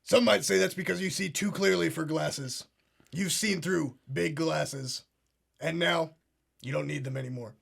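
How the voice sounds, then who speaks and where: An actor playing a pompous conspiracy talk show host, earnest and solemn in a deadpan parody. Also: male voice, fairly high pitch, a little slow, creaky, exaggerated voice. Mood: smug earnest.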